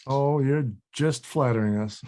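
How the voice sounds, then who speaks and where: A man's voice: medium pitch, unhurried, darkish tone, natural voice. An actor at a table read playing a wry, wary older male actor with a dry, hesitant delivery.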